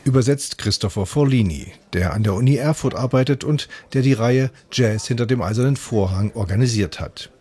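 A man's voice: mid-range voice, brisk, few pauses, darkish tone, husky. A man narrating a scripted radio report as the reporter, in composed full sentences.

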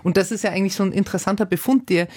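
Brief: female radio host, mid pitch, curious and reflective, dry close sound. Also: racing, darkish tone, dry recording. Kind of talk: radio book discussion.

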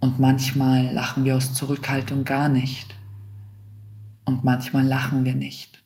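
A man slowly reading a reflective first-person text. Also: mid-range voice, completely flat delivery, darkish tone.

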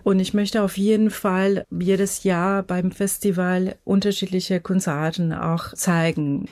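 Slow-speaking Iranian woman with a Persian accent. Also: fairly low pitch, fairly even intonation, a little mellow, no echo. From Iran. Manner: non-native German with irregular grammar and searching phrasing. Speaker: musician and festival director, interview guest. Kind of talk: radio interview conversation.

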